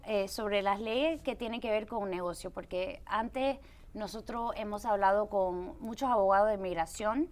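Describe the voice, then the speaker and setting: Woman hosting a Spanish-language TV interview. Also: mid-range voice. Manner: chatty, with restarts and switches into English words. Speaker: TV show host.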